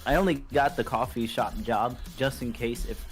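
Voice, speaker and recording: A man's voice: medium pitch, normal speed. A man chatting casually as an artist co-hosting a game development stream. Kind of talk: casual chat. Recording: music under the voice. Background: background music.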